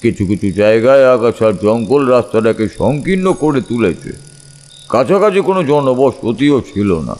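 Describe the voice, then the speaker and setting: A man narrating a scripted first-person ghost story in a suspenseful, measured storytelling manner. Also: medium pitch, expressive, a little mellow. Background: background music.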